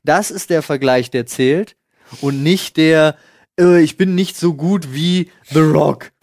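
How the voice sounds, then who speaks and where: A man's voice: a little high, slow, a little mellow, dry recording, clean studio sound. A man chatting casually as a podcast co-host, debating in informal, slangy language. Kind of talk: casual chat.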